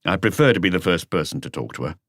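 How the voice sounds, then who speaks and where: A man voicing a calm, laconic senior police detective in an audiobook, terse and authoritative. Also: sits low, fast, no echo, clean studio sound. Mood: probing. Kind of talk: audiobook character dialogue.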